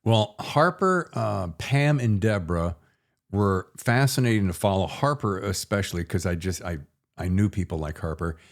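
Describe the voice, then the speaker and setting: A man's voice: deep voice, lively intonation, moderate pace. A man chatting casually as the host of an interview podcast.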